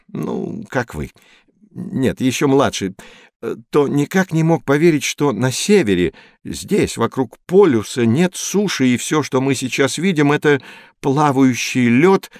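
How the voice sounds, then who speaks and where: A learned older male teacher figure with a very animated, mid-pitched voice. He explains and tells stories to children in an educational audio play. The recording is dry and close. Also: measured pace, no room sound. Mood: reminiscing. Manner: didactic, expressive, storytelling.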